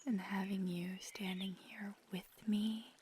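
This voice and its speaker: A woman playing a feisty, affectionate magical girlfriend in an ASMR roleplay, talking casually and often dropping to a breathy murmur. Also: mid-range voice, little pitch movement, measured pace, natural voice. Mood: soft, grateful. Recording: clear background noise with birdsong. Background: birdsong and outdoor ambience.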